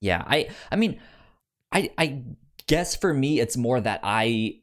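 A man chatting casually as a podcast host. His pitch moves around a great deal, and he often restarts words and phrases in a halting, conversational way. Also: lively intonation.

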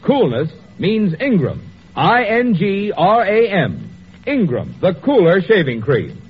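A man's voice: high voice, huge pitch swings, takes it slowly. A male radio announcer reading advertising copy in a polished, salesmanlike 1940s style. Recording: muffled, hissy old radio recording.

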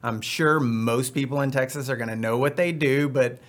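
A man's voice: mid-range voice, moderate pace. A man hosting a podcast, upbeat and chatty with rambling asides. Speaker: podcast host. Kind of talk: casual podcast chat.

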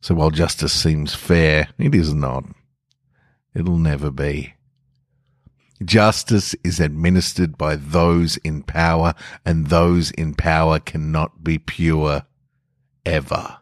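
A smug spirit of evil, read by a man, gloating with slow, quiet patience. The voice sits extremely low and takes its time over every word. The sound is close and dry, with no room around it. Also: steady tone, no echo. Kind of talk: scripted first-person story reading.

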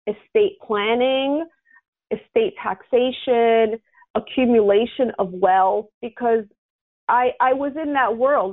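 A woman from the United States explaining things earnestly, with examples, as an advisor being interviewed. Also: on the high side. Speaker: interview guest, estate planning and tax advisor. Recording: thin, narrow phone-line sound.

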